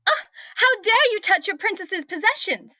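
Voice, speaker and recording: A woman's voice: very high voice, very animated, moderate pace, pushed and bright, full character voice. A woman at a table read playing an imperious young princess with a haughty, declamatory delivery. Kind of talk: table read of a comedy fantasy script.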